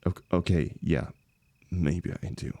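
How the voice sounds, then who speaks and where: A man acting a lovelorn young heartbreaker in an intimate, emotional audio roleplay, speaking haltingly and rawly to a longtime friend. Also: low-pitched, mellow, dry recording, exaggerated voice. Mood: tender, vulnerable. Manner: halting, stammering, swearing, emotionally raw.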